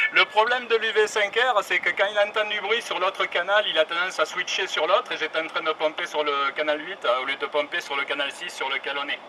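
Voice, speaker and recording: Man, slightly higher voice, hesitant and filler-heavy, radio test, noisy outdoor city recording. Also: steady tone, fairly quick. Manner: hesitant, many fillers and restarts. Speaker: radio hobbyist testing handhelds. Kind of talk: casual radio check. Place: outdoors on a high building in the city. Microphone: handheld radio and camera.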